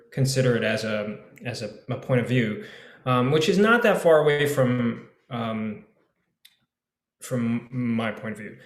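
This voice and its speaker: Reflective man in an echoey room. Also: mid-range voice. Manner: halting, musing, circles back to rephrase. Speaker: actor. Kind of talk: reflective panel discussion. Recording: roomy, echoey video call sound.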